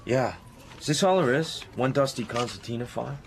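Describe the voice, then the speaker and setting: A haunted, terse American crime writer acted by a man in a radio drama. His mid-range voice is sardonic and brusque, with clear noise behind it. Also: mid-range voice, expressive, fairly quick, close to an everyday voice.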